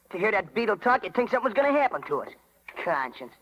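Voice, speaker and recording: High and gravelly, cocky street kid, slangy, sharp, animated, muffled 1930s radio drama. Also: male voice, fast. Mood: scornful. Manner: slangy, brash. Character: cocky tough street boy, sneering, turning donkey.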